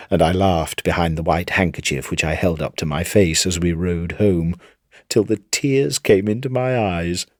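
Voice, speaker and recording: An actor playing a gleeful, vengeful madman confessing his crime in a dramatic first-person literary reading, theatrical and swinging between hushed and fierce. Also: on the low side, no echo, clean studio sound, lightly acted. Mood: secretly gleeful, restless.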